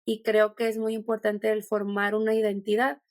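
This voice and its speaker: A woman's voice: mid-range voice, monotone, normal speed, no room sound, clean studio sound. A Mexican woman with a Mexican accent, a podcast guest talking thoughtfully and meandering, with many restarts. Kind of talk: conversational podcast interview.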